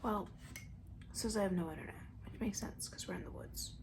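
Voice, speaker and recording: A woman doing soft-spoken ASMR roleplay as a camp counselor, chatting in a gentle, breathy, rambling way that often slips into a whisper. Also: mid-range voice, brisk, noisy background. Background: banjo.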